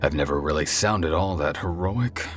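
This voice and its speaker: A man's voice: very deep voice, normal speed, faint music behind. A man playing a cocky, crude, flamboyant antihero god in an audio drama, swaggering, sarcastic and teasing. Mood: playful. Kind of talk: audio drama character acting.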